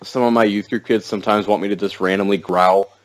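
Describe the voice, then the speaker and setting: Deep-voiced young man, rambling chat. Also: steady tone, fast, no echo, rough recording. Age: young adult. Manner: rambling, many fillers and restarts, laughs often. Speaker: podcast guest. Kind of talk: casual podcast chat.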